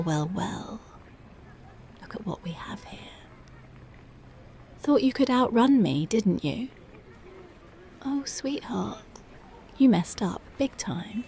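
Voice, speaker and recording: Slow, breathy, commanding policewoman, acted by a woman. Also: medium pitch, dark tone, slight background noise. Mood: taunting, menacing. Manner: slow, commanding, taunting, often dropping into whispers. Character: strict, dominant, teasing female police officer. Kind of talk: ASMR roleplay, intimate and breathy.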